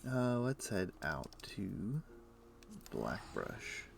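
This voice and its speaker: A tired man streaming a game, casually greeting his chat in a relaxed way. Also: deep voice, extremely slow, gravelly, noisy background.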